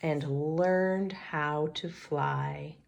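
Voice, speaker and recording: A woman reading a picture book aloud to children in an expressive storybook style, like a teacher. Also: sits low, gravelly, muffled recording. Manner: pauses to ask children questions.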